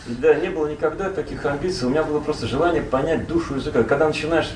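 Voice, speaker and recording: A man's voice: fairly high pitch, racing, darkish tone, slight background noise. Rambling man telling stories, distant and roomy. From Russia. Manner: rambling, with many fillers and self-corrections. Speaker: interview guest, poet and translator. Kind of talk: relaxed storytelling in conversation.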